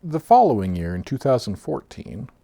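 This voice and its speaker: A man in his thirties casually telling his own story for a vlog, rambling and laughing as he goes, often trailing off softly, sometimes a little off his own mic. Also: mid-range voice, sing-song, normal speed, vocal fry. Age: 30s. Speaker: vlogger. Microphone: own mic, off-axis at times.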